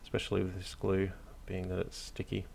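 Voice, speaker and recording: A man hosting a hobby tutorial, narrating casually off the cuff in a hesitant, low-key, encouraging way. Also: monotone, brisk, vocal fry, darkish tone. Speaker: hobby craft tutorial host.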